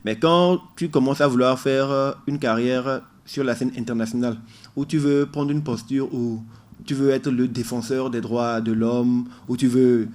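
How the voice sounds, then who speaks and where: A man's voice: mid-range voice, fairly even intonation, moderate pace, darkish tone. A man being interviewed as a musician guest in a radio studio, explaining his ideas in an earnest, emphatic way.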